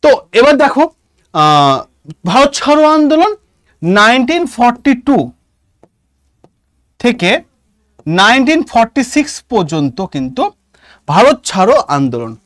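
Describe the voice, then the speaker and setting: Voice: medium pitch, very animated, a little slow, long pauses, fairly bright tone, dry recording, studio quality. A teacher with an Indian Bengali accent giving an online exam lesson, explaining emphatically and often checking understanding with short questions. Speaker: exam-prep history teacher.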